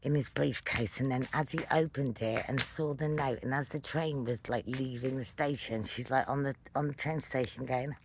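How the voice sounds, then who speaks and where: A middle-aged storyteller rambles casually with a playful, wandering delivery. The voice sits at a middling pitch between male and female ranges. There is clear noise and some clicking in the recording. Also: fairly even intonation, moderate pace, hardly any pauses. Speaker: vlogger telling a personal story. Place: outdoors at night beside a fire.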